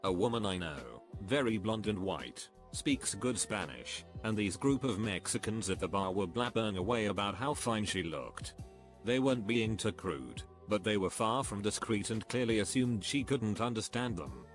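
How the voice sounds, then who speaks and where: A man reading anecdotes aloud as a scripted narrator, at a steady, even pace. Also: fairly low pitch, normal speed. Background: faint background music.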